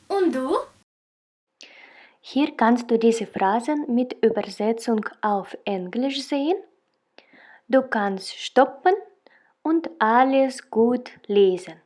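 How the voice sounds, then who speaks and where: Slow high-voiced teacher. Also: female voice, darkish tone, recorded from a distance. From Russia. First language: Russian. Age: 20s. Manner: slow, carefully articulated teaching delivery. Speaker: German teacher. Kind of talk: beginner language lesson.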